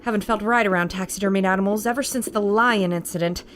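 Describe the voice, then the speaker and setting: A woman's voice: mid-range voice, quick pace, slight background noise, close to an everyday voice. A woman playing a bold, plucky adventurer in a radio drama, earnest and assertive. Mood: tense, determined.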